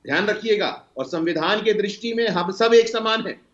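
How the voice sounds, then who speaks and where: A man's voice: sits high, normal speed. An Indian man speaking as a party spokesperson in a televised political debate, emphatic, lecturing and argumentative. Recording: roomy, dull lo-fi sound.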